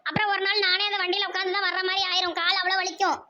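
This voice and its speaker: A woman voicing a bossy, sharp-tongued village mother in an amateur cartoon comedy, chattering mockingly with a southern Tamil Nadu accent. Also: very high-pitched, level tone, very fast, highs cut off, a little hiss or hum. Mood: dismissive.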